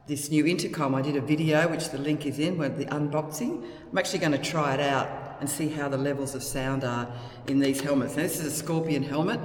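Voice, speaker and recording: A middle-aged woman with an Australian accent chats candidly and casually in a rambling, conversational vlog style. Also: deep voice. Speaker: motorcycle vlogger. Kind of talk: casual vlog chat to camera. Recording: loud wind, engine and road noise.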